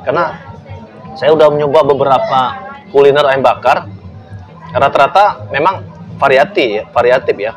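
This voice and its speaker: Chatty man hosting a food tasting, with music behind. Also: medium pitch. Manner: chatty, joking, lots of quick backchannel. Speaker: food show host. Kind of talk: casual food-tasting interview.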